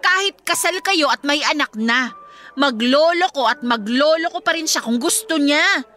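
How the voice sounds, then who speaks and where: A woman's voice: sits high, animated, normal speed, pushed and bright. A woman with a Filipino accent playing a blunt, exasperated best friend in a radio drama, scolding and emphatic. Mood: urgent, blunt. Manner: scolding, emphatic, straight-talking. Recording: music playing behind the dialogue.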